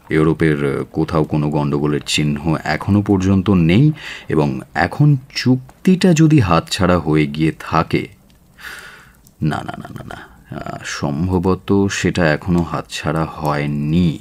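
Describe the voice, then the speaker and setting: A brooding, intense detective in a radio mystery. The voice is on the low side and very unhurried, musing aloud with deliberate, theatrical pauses. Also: male voice, darkish tone, exaggerated voice. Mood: measured, serious. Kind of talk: radio drama acting.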